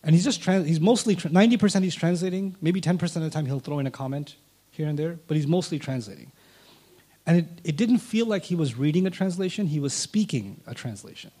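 A man's voice: fairly high pitch, fast talker. A man whose first language is Urdu, a guest telling a personal story and acting out past conversations. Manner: animated, acts out past conversations. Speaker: interview guest.

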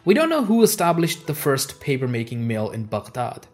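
Measured male history narrator, Urdu native. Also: mid-range voice, wildly swooping pitch, soft background music. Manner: measured, explanatory, with stressed openings on transition words. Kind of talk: scripted educational narration.

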